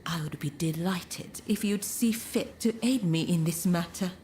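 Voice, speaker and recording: An actress playing an elegant, teasing courtesan in a video game cutscene, with refined, coquettish phrasing. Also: female voice, lowish voice, unhurried, a little mellow, a little hiss or hum, natural voice. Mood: coy, pleading. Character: elegant, coy, teasing courtesan woman with powerful connections.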